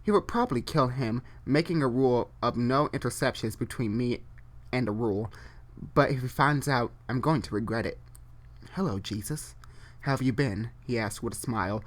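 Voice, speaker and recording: Informal storyteller, halting live read-aloud. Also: mid-range voice. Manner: halting, repeats and corrects phrases while reading; informal, chatty asides. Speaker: online storyteller. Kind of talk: dramatic reading of amateur fan fiction with off-script asides.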